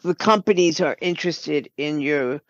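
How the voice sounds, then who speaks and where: A woman giving explanatory, halting answers as a guest in an unscripted interview. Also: sits low, dry recording, muffled recording. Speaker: podcast guest, writer and producer.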